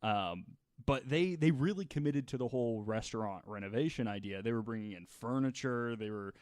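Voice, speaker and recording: A man's voice: medium pitch, brisk, darkish tone, no echo, studio quality. A man co-hosting a podcast, telling a story in a casual, jokey, conversational way with some laughing as he talks. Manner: jokey, laughs while talking. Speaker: podcast co-host telling a true crime story.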